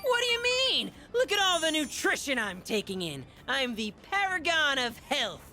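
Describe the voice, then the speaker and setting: A woman's voice: high voice, very animated, normal speed, pushed and bright, soft background music, full character voice. A woman playing a boastful, eccentric young glutton in a video game. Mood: jovial, grandiose.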